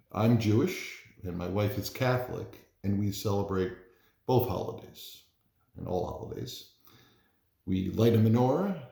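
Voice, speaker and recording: A man reads and introduces a children's storybook as a parent volunteer. His voice sits fairly low but swings expressively in pitch. The room adds a noticeable echo around him. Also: dark tone. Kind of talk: introducing and narrating a children's picture book. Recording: roomy, echoey indoor sound.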